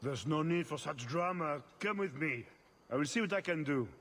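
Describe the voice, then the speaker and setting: An actor playing a dutiful guard captain with a stiff, formal manner in a video game. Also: male voice, a little high, lively intonation, moderate pace, fairly bright tone.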